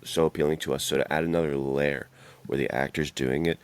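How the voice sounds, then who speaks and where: A man's voice: a bass voice, fairly even intonation, fairly quick. A man co-hosting a podcast, chatting about adventure fiction in an enthusiastic, slangy, bro-ish way. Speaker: podcast co-host.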